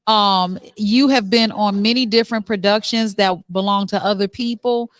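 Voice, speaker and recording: A woman's voice: mid-range voice, steady tone, moderate pace, no echo. Female show host interviewing, dry sound. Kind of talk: casual on-location interview.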